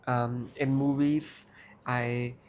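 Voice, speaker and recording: A man's voice: medium pitch, little pitch movement, extremely slow, darkish tone. Casting note: man, laid-back and dry, faint noise behind. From India. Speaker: co-host. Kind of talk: casual couple chat.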